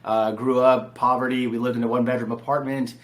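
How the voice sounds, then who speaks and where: A man's voice: mid-range voice, flat delivery, fast talker. A man from San Diego, telling his story informally and personably as a podcast guest. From San Diego, California. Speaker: podcast guest, real estate entrepreneur. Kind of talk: casual interview storytelling.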